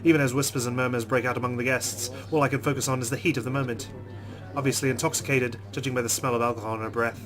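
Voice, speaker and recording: A man's voice: medium pitch, fairly even intonation, fast talker, over music. A man playing a visual novel, reading its first-person narration dramatically with reactions.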